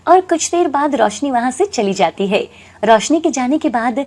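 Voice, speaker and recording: A woman's voice: sits high, animated, moderate pace, darkish tone. A woman narrating a scripted moral story.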